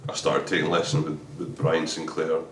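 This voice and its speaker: A man's voice: extremely low pitch, completely flat delivery, moderate pace, slight background noise. A Scottish man, a drummer, chatting candidly in an interview with frequent stammered restarts. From Scotland. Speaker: drummer guest. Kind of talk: casual interview chat. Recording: echoey room from a distance.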